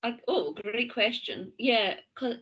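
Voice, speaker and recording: A woman's voice: on the high side, takes it slowly, husky, dull audio. Thirty-year-old woman with a mild Scottish accent. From Scottish-English border. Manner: chatty, laughs often, self-correcting. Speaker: host. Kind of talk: casual chat.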